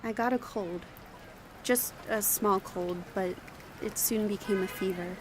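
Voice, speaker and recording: A woman's voice: medium pitch, measured pace, background noise, natural voice. A woman playing a dying, homeless young woman who wearily narrates her lonely life in an audio drama. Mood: wistful, weary. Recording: voice over music.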